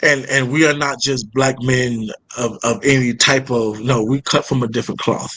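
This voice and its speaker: A man's voice: mid-range voice, steady tone, moderate pace, a bit bright, husky, poor audio. Laid-back, slangy male podcast host. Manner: laid-back, slangy, repeats words as he starts sentences. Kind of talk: casual podcast conversation.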